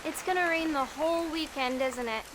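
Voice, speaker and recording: Casting: female actor as teenage American daughter, high-pitched, reluctant and dryly wry, natural video game acting. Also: moderate pace, noisy background, close to an everyday voice. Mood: sullen, wry. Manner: dry, reluctant. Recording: music and rain underneath.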